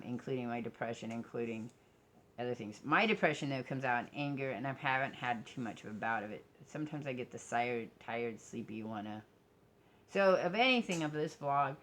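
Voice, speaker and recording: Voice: mid-range voice, a bit bright. A middle-aged vlogger talking casually to viewers in a rambling, candid and self-reflective way. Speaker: vlogger and game streamer.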